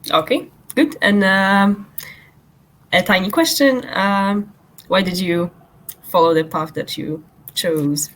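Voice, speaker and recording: A woman's voice: medium pitch, takes it slowly, long pauses, a bit bright. A woman moderating an online panel, polite and slightly hesitant, with frequent fillers, on a video call.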